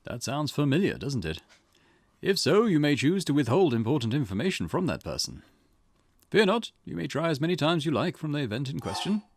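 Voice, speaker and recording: A man's voice: a little high, very animated, a little fast. A man voicing a mysterious game storyteller who addresses the player in a theatrical, formal, knowing way.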